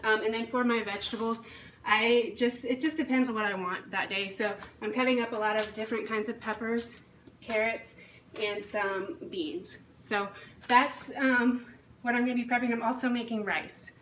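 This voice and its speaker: A woman fitness coach explains things in a casual, matter-of-fact way to a camera a few feet away. Her voice sits at a middle pitch. The recording is roomy and distant, as in a hard-surfaced kitchen, with a little background noise. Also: steady tone, normal speed, a bit bright. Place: home kitchen, indoors. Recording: echoey kitchen, distant mic, faint hiss.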